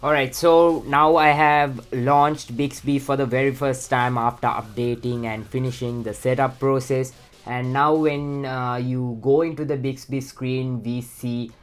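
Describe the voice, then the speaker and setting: A man's voice: mid-range voice, a little slow, faint music behind. A man from Sri Lanka with a Sri Lankan accent, casually walking through a phone setup with lots of "uh" fillers. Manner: relaxed, many "uh" fillers and "let's go ahead". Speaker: tech channel host. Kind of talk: casual how-to walkthrough.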